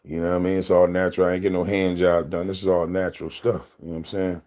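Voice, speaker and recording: A man in his thirties with a deep voice, telling loose, rambling stories to his camera as a casual vlog and laughing often. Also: little pitch movement, fast, dark tone. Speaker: vlogger.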